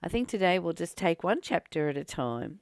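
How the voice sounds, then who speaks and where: A woman teaches from a spiritual text in a low voice with a lively, swinging melody. She reads passages aloud and reflects on them deliberately, pausing and repeating phrases for emphasis. The recording is close and dry, with no room sound. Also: no room sound. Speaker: spiritual teacher and channel host. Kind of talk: spiritual book study, reading aloud with reflective commentary.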